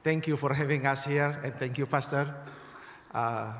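A man with an Indonesian accent greeting a church congregation as a visiting guest, halting, with many fillers and restarts. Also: medium pitch, steady tone, noisy background. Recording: reverberant hall with crowd.

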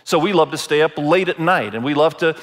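A man from Indonesia preaching as a pastor, deliberate and repeating phrases for emphasis. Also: on the high side, fairly bright tone. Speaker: pastor preaching to a church congregation. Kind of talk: sermon.

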